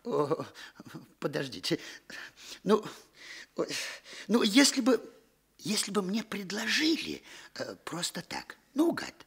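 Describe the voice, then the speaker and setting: An actor playing a frightened, evasive small-time informer in a radio drama, stammering and hesitant. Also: male voice, sits high, wildly swooping pitch, slow, creaky, long pauses, breathy edge, exaggerated voice. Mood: fearful, hesitant. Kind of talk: Soviet radio drama acting.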